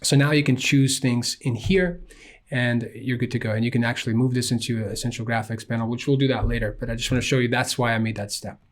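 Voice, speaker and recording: A man from Ukraine explaining software step by step at a desk microphone, casual, with frequent fillers. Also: mid-range voice, fairly even intonation, fast talker. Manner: casual, walks through steps with frequent fillers. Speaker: software tutorial instructor. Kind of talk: screen-recorded software tutorial.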